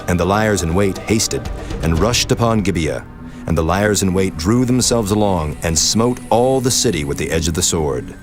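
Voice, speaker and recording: Deep-voiced solemn male scripture narrator. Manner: measured, formal. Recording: prominent music behind the voice. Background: music.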